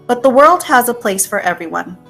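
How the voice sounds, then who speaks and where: A woman's voice: fairly high pitch, animated, a little fast, vocal fry, sharp tone. A woman reading a children's picture book aloud. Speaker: reader. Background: guitar music.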